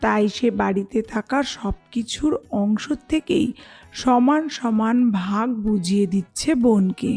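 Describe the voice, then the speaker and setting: A woman's voice: mid-range voice, measured pace, creaky, darkish tone, soft background music. A woman narrating a scripted children's story.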